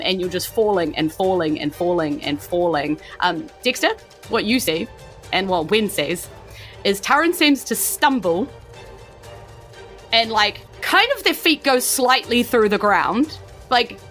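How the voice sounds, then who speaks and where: Game master: New woman, bright tone, animated and informal improvised storytelling, light music underneath. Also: medium pitch, unhurried. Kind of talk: improvised tabletop game narration.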